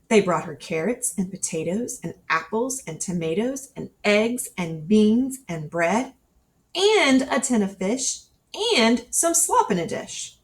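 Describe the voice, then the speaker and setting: A woman reading a children's picture book aloud in an expressive storytelling style, acting out the dialogue as she goes. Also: medium pitch. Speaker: storybook reader. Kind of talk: scripted children's storybook narration read aloud.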